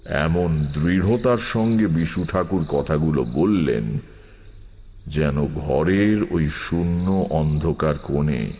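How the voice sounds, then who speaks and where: Male narrator for a horror audiobook, grave and deliberate, low register, heavy reverberant tail. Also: darkish tone. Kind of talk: scripted horror story narration. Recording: long reverb, faint night-insect noise.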